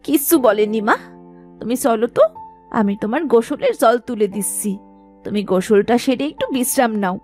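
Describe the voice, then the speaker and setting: A woman's voice: a little high, expressive, fairly quick, darkish tone, faint music behind, lightly acted. A woman playing an earnest, devoted young village daughter in a melodramatic cartoon, speaking with emotion and dignity. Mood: caring.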